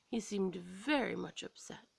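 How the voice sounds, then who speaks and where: An American woman storyteller reading a scripted children's story aloud in an expressive, dramatic way. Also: medium pitch, measured pace, vocal fry, breathy edge, no room sound.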